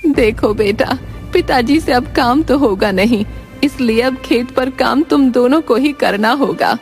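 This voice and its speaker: A woman's voice: on the high side, measured pace, faint music behind, pitched above the performer's own voice. A woman voicing a caring, instructing village mother in a children's cartoon story. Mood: firm, concerned.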